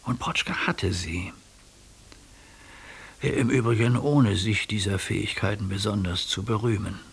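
A man's voice: lowish voice, a little slow, gravelly, fairly bright tone, slightly rough, heavily acted. An actor in a radio drama playing an earnest, ailing older man who reflects on mystical experiences with educated diction. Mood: earnest, reflective. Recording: faint tape hiss.

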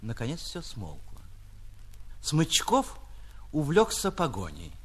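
A man, an actor reading a literary short story in an expressive, theatrical narrating style. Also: fairly high pitch, slow, husky. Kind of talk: dramatic literary narration with acted dialogue.

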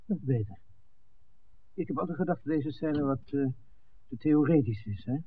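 An actor playing a calm, smitten male filmmaker in a 1960s radio drama. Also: on the high side, moderate pace, slight background noise, lightly acted. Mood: matter-of-fact. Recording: dull vintage 1969 radio recording.

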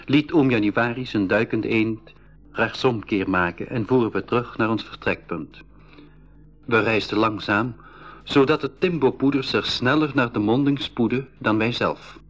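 An actor narrating an adventure in the first person as an expedition member, with measured, scripted storytelling. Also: male voice, medium pitch, natural voice. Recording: dull 1980s radio recording.

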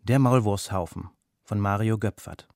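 A man's voice: fairly low pitch, moderate pace, creaky. A man narrating a scripted children's story. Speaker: story reader. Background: loud plucked guitar music.

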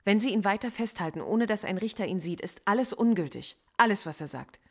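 A defiant, sharp-tongued philosophy professor under interrogation in a radio play. She argues in precise, cutting sentences and stands her ground. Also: mid-range voice, lively intonation, fairly quick.

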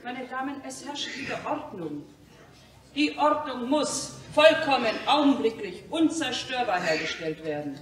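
In an echoey radio-play space, a pompous, fussy shopkeeper. The voice is high and swooping, declaiming slowly as if issuing decrees. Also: female voice, expressive. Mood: pompous, commanding. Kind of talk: German radio play acting. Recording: echoey room, slightly distant.

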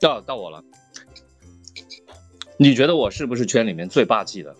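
A man's voice: medium pitch, expressive, normal speed, soft background music. A man chatting and bantering casually as a guest on a show. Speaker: voice actor guest.

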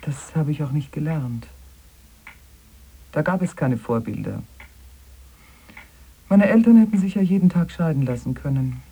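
A weary, bitter young wife in a radio play. Her low voice is extremely husky and breathy, and her intonation swings widely. She sounds terse and intense, eager to escape. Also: lively intonation, unhurried, long pauses, dark tone, heavily acted. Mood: resolute, hushed. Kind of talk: German radio play acting.

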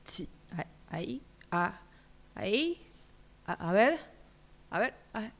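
A woman's voice: medium pitch, sing-song, gravelly, a bit bright, full character voice. A woman in a radio drama exercise playing a flustered woman who stammers and repeats herself trying to make a point. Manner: halting, stammering, repetitive.

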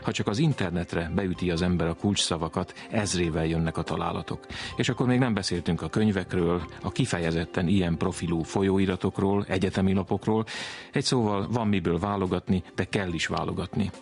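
A man's voice: low-pitched, soft background music. A man hosting a programme, narrating in a conversational, explanatory way and telling anecdotes. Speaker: radio programme host.

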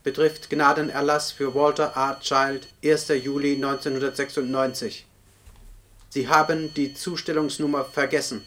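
An actor playing an emotionless bureaucratic machine in a German radio play, reading official notices in a clipped, officious way. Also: male voice, medium pitch, flat delivery, moderate pace, fairly bright tone, slight background noise, natural voice. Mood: impersonal, stern.

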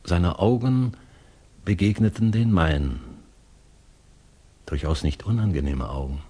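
Deep, husky reserved gentleman. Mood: reflective. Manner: polite, dry, deliberate. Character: courteous, reserved middle-aged English expatriate gentleman. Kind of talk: 1960s German radio drama acting, dialogue and narration.

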